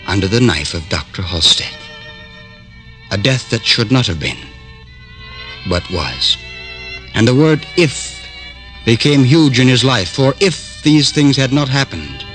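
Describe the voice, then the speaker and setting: An actor as a grave male narrator, dramatic and measured, in 1950s radio drama style. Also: lowish voice, fairly bright tone, exaggerated voice. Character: grave, portentous male storyteller. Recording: old radio drama, faint music behind.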